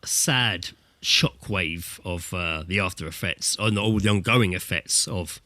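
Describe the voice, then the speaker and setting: British male, podcast co-host. Bright, punchy voice, dry recording, casual jokey banter with swearing. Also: low voice, unhurried, no room sound. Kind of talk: casual comedic podcast chat. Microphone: remote internet call recording.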